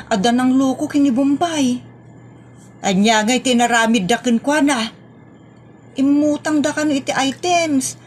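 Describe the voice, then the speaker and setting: A woman's voice: sits high, a little hiss or hum. A woman playing a nosy, scolding village woman in a comedy drama, speaking emphatically with an Ilocano accent.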